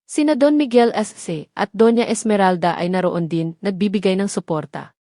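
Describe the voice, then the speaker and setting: A woman's voice: mid-range voice, moderate pace, mellow, dry recording, clean studio sound. A woman with a Filipino accent reading a scripted dramatic story as its narrator.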